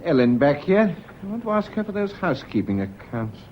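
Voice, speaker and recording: An actor playing a tense, defensive, controlled schoolmaster and widower in a British radio drama, speaking in a clipped, formal way with a British accent. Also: male voice, fairly high pitch, sing-song, a little fast, lo-fi sound, a little hiss or hum. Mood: strained, controlling.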